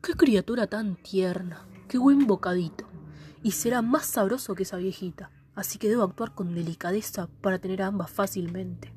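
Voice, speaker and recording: A woman playing a sly, coaxing male wolf in a fairy tale radio play, with a husky voice. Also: medium pitch, normal speed, a little mellow, slight background noise, lightly acted. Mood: scheming. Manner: coaxing, sweetly deceitful. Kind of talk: school radio play acting.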